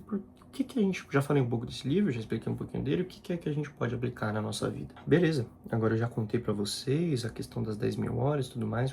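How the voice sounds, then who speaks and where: A young man in his twenties talks loosely to the camera as a content creator, looping back over his words in an informal, slangy way. His voice is gravelly and mellow rather than bright. A faint noise sits behind him. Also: medium pitch. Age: 20s. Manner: informal, repeats himself, slangy asides. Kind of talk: casual personal vlog talk.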